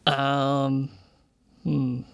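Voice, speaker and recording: A man's voice: mid-range voice, monotone, very slow, vocal fry, clean tone. A man chatting as a player in a tabletop game, hesitant, in broken casual phrases.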